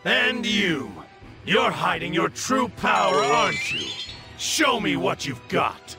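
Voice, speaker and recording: An actor playing a stern, cold, challenging fighter in an anime video game, curt and commanding. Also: male voice, high-pitched, big pitch swings, takes it slowly, full character voice. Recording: music behind.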